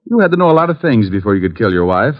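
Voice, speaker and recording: A man's voice: fairly low pitch, big pitch swings, racing. A man playing a wisecracking private detective in a mid-century radio drama, dry and glib in a hard-boiled style. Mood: probing. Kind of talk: 1950s radio acting with hard-boiled narration. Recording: muffled old-time radio recording.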